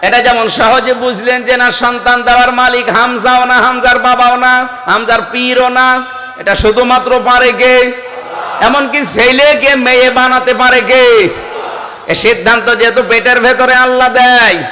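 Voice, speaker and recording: A man delivers a fiery Islamic sermon in a fairly high, piercing voice. He exhorts his listeners insistently, as a preacher addressing a gathering. His words ring out through an echoing sound system. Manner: exhorting, calls on listeners to answer louder; breaks into slow melodic Arabic recitation. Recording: echoing PA sound with long reverb.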